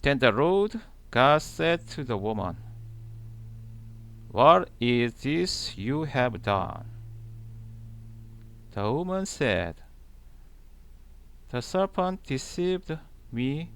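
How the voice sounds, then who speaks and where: A man reading scripture aloud in a deliberate manner, pausing between short, halting phrases. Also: medium pitch, big pitch swings, a bit bright, smooth. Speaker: channel host reading the Bible aloud. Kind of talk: slow scripture read-aloud.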